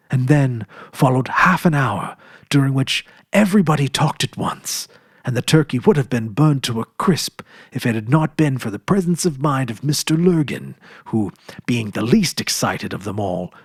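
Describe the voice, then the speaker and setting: Male storyteller, husky, very expressive, dry close mic. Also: medium pitch, no room sound. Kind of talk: dramatic reading of a classic story.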